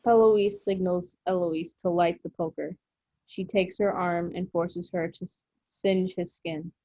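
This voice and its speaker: A woman narrates a drama script, reading out the stage directions. Her voice sits fairly low, and the recording is very dry with a dull, lo-fi sound. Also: little pitch movement, a little slow, dark tone, no echo. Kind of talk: scripted narration at a table read. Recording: dry, muffled lo-fi audio.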